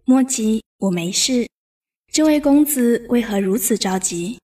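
A woman playing a gentle, bookish young noblewoman in a Chinese period audio drama, poised, with literary phrasing. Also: fairly high pitch, animated, a little slow. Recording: music behind voice.

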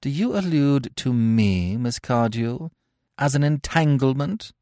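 A man's voice: mid-range voice, animated, measured pace, dark tone, no room sound, clean studio sound, pitched above the performer's own voice. A man voicing a haughty young society lady from the city, affectedly polite and satirical.

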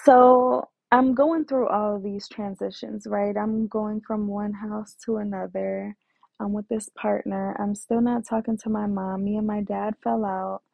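Woman recounting her life story, slow-paced and hesitant, heavy on fillers; the sound is phone-like. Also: medium pitch, fairly even intonation, creaky, no echo. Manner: hesitant, many fillers and restarts. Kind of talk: personal storytelling. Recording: tinny phone-like dry sound.

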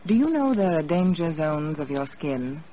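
A woman's voice: sits low, very animated, normal speed, mellow. A woman delivering a scripted, persuasive 1940s radio commercial. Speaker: advertising spokeswoman. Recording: muffled, noisy old radio broadcast.

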